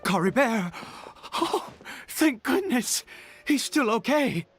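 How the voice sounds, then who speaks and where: A man playing a desperate, fervent father in a game, speaking in a halting, emotional way. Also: very high voice, big pitch swings, fairly bright tone, soft background music, exaggerated voice. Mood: anxious hope. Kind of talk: dramatic game voice acting.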